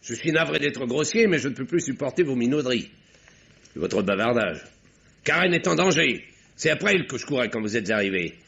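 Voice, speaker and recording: An actor playing an earnest middle-aged doctor turned sleuth who talks in an urgent, insistent and sometimes flustered way, in an old radio play. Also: male voice, mid-range voice, big pitch swings, fairly quick, vocal fry, fairly bright tone, breathy edge, full character voice. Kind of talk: 1950s radio drama acting. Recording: dull old radio recording.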